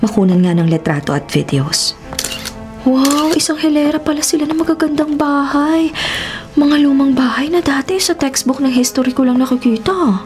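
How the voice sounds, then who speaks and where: An actress playing a sassy, easily spooked young woman travel vlogger with a Manila Filipino accent in an expressive radio drama style. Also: high-pitched, moderate pace. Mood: sassy, chatty. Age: young adult. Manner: expressive, sarcastic, mixes in English slang. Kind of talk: Tagalog radio drama acting with inner narration. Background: music.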